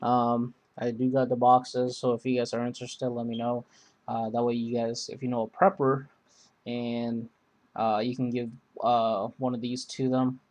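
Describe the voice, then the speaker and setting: A man hosting a casual, unscripted product review, speaking in a halting, rambling way that often circles back on itself. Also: medium pitch, monotone, a little mellow. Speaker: video host reviewing gear.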